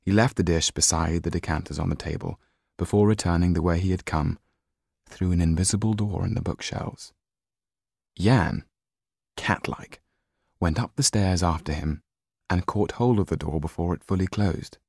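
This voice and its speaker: Male audiobook narrator: low, gravelly, expressive, measured reading. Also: no room sound, clean studio sound. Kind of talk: scripted storybook narration.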